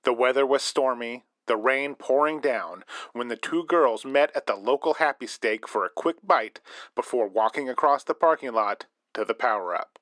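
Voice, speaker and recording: A man's voice: medium pitch, moderate pace, a bit bright, no echo, lightly acted. An actor playing a male radio host narrating a spooky story in scripted style. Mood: storytelling.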